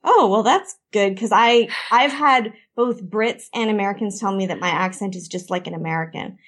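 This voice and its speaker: A woman's voice: medium pitch, normal speed, fairly bright tone. A woman with a Canadian accent hosting a podcast discussion, chatty and prone to long tangents. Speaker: podcast host.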